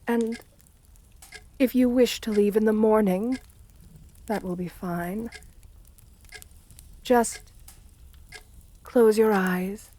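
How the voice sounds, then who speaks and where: A woman playing an assertive, seductive and menacing aristocratic countess in an ASMR roleplay, speaking softly with coaxing, condescending endearments. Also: medium pitch, steady tone, very slow, long pauses. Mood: soothing, coaxing.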